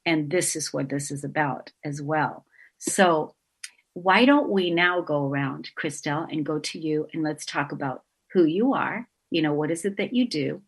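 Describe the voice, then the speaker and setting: A woman's voice: deep voice, normal speed, breathy edge. A woman guest-hosting a spiritual talk radio show, speaking in a reflective, earnest way with frequent ums, over a remote call. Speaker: guest host.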